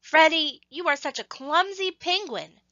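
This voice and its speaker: A woman voicing a teasing penguin in a children's storybook, calling out loudly in exclamations. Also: high voice, animated, unhurried, no room sound, dull audio, voice pushed up, exaggerated voice. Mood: teasing, scolding. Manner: loud, exclaiming call-outs.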